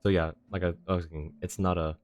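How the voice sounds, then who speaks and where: A man's voice: low voice, level tone, fast, a little mellow. A man casually commentating on a game livestream, musing aloud and sometimes trailing off. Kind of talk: casual game stream commentary, reading dialogue choices aloud. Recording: game music behind the voice.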